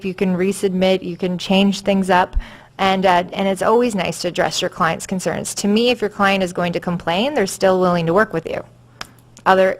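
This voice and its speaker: A woman presenting a conference seminar and fielding questions, chatty with many fillers and easy laughs. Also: mid-range voice. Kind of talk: conference session talk.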